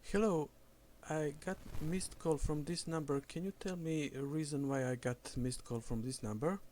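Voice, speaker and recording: A man on a prank phone call, speaking slowly and deliberately, overly polite, acting confused. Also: a little high, a little mellow, a little hiss or hum. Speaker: scambaiter posing as a caller.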